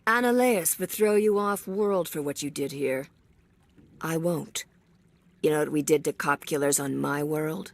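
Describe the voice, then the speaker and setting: A woman's voice: sits low, a little slow. A woman playing a hard, vengeful security sergeant in a video game, threatening and taunting. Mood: menacing. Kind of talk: video game dialogue acting.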